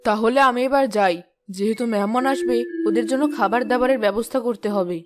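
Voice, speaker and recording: A snobbish, fussy village mother from a cartoon, voiced by a woman, with loud music underneath. Her voice is fairly high and rapid, doting and questioning. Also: natural voice. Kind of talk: cartoon voice acting.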